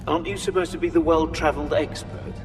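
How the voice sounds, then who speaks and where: An actor playing a weary, sceptical soldier in a sci-fi audio drama, complaining pointedly in a reverberant tunnel. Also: male voice, on the high side, little pitch movement, fast, soft background music, lightly acted. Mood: weary, doubtful.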